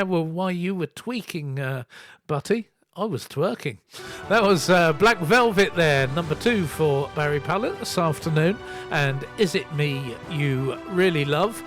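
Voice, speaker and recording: A man presents a soul music radio show in a casual, chatty way. His voice sits a little high, and he pokes fun at himself while running through record details. Some music plays behind him. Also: a bit bright, no echo. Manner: chatty, self-mocking, reading out record details. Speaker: radio host and DJ.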